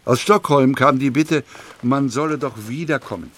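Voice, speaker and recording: An actor playing a male industrialist who recalls his business affairs in a measured, formal way for an audio documentary. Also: mid-range voice, close to an everyday voice. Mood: measured, satisfied. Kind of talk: first-person reading in a scripted audio documentary.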